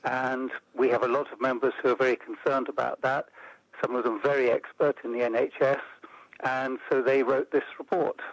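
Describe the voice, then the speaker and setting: A man as an interview guest, explaining policy in a measured way and building points into lists. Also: mid-range voice, level tone, no room sound, poor audio. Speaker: interview guest, report author. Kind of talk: radio interview.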